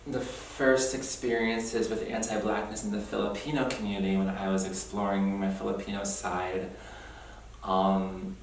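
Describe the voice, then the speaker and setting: A young man reflecting earnestly on his heritage in an oral history interview, thoughtful and quick to laugh. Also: fairly low pitch. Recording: roomy echo, distant mic, faint noise.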